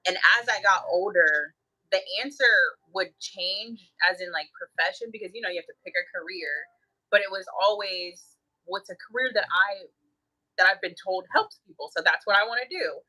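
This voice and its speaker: A woman co-hosting a relaxed conversation, who works in mental health, laughs often and acts out things she once said. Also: mid-range voice, moderate pace, poor audio. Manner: laughs often, acts out her own past remarks. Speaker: co-host, mental health worker. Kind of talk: casual conversation.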